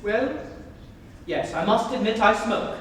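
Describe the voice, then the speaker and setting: An earnest gentleman suitor, played by a young man, speaks with hesitant, nervous politeness. It is stage-comedy acting. The voice is distant, in a very echoey hall with faint noise. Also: high-pitched, lively intonation, measured pace. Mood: polite, uneasy. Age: young adult. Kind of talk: stage dramatic reading of Victorian comedy.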